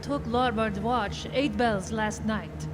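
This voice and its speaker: Scared ship's mate for horror radio: earnest, uneasy, raised pitch, clearly noisy backdrop. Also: female voice, steady tone, slow pace. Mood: uneasy, fearful. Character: frightened, superstitious ship's mate on night watch. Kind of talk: dramatic radio acting. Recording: noisy ambience behind the voice.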